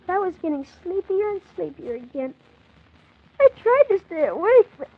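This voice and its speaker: A woman playing an earnest, polite young orphan boy who tells his story wide-eyed in a 1940s children's radio drama. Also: high voice. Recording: thin, phone-like 1940s radio recording.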